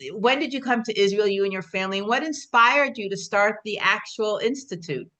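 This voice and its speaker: A woman interviewing a guest on a video call, chatty and quick to laugh. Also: mid-range voice. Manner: warm, laughs often, chatty. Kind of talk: conversational interview.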